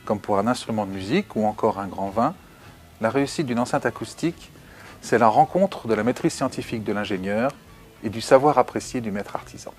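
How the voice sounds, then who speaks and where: Male, rapid delivery, low pitch, company spokesman, prepared statement. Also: faint music behind. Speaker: company spokesman from founding family.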